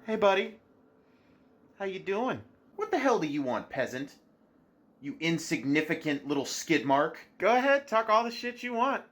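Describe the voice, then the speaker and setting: A man's voice: high-pitched, big pitch swings, normal speed, fairly bright tone, voice pushed up. A man playing a streaming platform as a petty, needy, easily outraged person in an improvised comedy sketch. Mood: smug, mocking. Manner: sarcastic, whiny, easily outraged.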